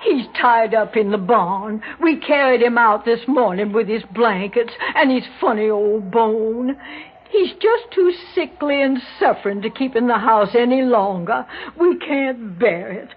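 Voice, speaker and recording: An actress in her eighties playing a genteel, gently fussy, affectionate old widowed mother in a 1960s radio drama. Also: on the high side, breathy edge. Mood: hesitant, sorrowful. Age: 80s. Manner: unhurried, gently fussy, theatrical. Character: genteel, calm, affectionate, slightly dotty elderly widowed mother. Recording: muffled, noisy 1960s radio recording.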